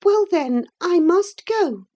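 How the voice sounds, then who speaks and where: Woman doing a fiery, high-pitched young girl. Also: animated, measured pace, dark tone, lo-fi sound. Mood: pleading. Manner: passionate, cries out. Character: hot-tempered, impassioned young girl. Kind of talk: audiobook character reading.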